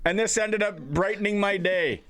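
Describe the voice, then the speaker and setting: A man's voice: sits high, normal speed, a bit bright. A man hosting a sports radio show with casual, jokey banter and an informal manner. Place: radio studio, streamed.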